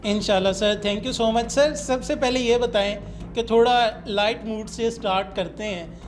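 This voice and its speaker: A woman's voice: fairly high pitch, unhurried, over music. A woman with a Pakistani accent hosting a podcast interview and addressing the guest. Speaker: podcast host. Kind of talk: interview questioning.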